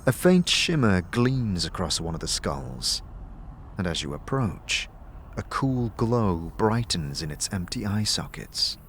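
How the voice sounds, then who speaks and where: A man's voice: low voice, big pitch swings, a little slow, slight background noise. A man giving scripted, atmospheric fantasy narration as a descriptive storyteller. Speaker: narrator and game master. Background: music.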